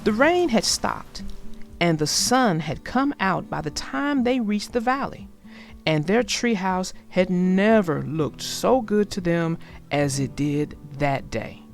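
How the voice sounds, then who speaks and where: A woman narrates a children's story in a very animated voice. She takes her time, phrasing things dramatically as she speaks to her listeners. Soft music plays behind her. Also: on the low side. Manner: deliberate, dramatic phrasing, addresses listeners directly. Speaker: read-aloud storyteller.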